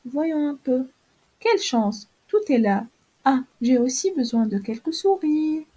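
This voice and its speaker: A kindly little fairy godmother from a storybook cartoon, put on by a woman narrator, gentle and reassuring. Her voice is a little high, with a warm, mellow tone. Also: animated, measured pace, husky, heavily acted.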